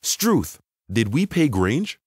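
A man's voice: medium pitch, big pitch swings, slow pace, a little mellow, breathy edge, no echo, studio quality, exaggerated voice. A man playing an incredulous, money-minded manager in an audio story, with an astonished, questioning delivery. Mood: questioning, intrigued. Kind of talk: acted dialogue in an audio short story.

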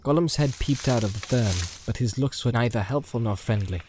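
Guy narrating an audiobook, normal pitch, scripted story reading. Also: a little fast, darkish tone, slight background noise.

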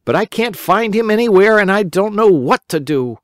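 A man's voice: sits high, expressive, normal speed, dry recording, clean studio sound, voice pushed up. A man putting on a whiny simple boy. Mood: pleading. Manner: whiny, pleading, easily upset. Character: simple, hungry, round-faced young boy.

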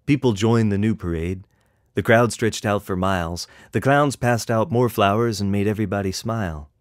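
A man reading a picture book in rhyming verse as its author, with expressive, rhythmic delivery. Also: lowish voice, moderate pace, no echo, studio quality.

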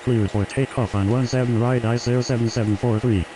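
A man's voice: medium pitch, fairly even intonation, fairly quick. A man speaking clipped, scripted air traffic radio phraseology.

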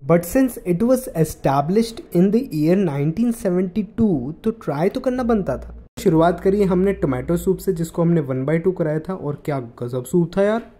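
Young male vlogger, high-ish mellow voice, chatty playful narration. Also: animated, a little slow. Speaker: travel vlogger. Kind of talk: voice-over narration.

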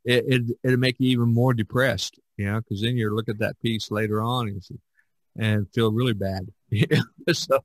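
A man hosting a casual podcast discussion, speaking in halting, hesitant phrases. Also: fairly low pitch, fairly even intonation, no echo. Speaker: podcast host.

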